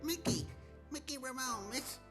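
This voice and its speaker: Very high, squeaky little schoolboy. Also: female voice, a little slow, over music. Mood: giggly, cheeky. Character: giggly, cheeky little schoolboy classmate. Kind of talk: animated comedy voice acting.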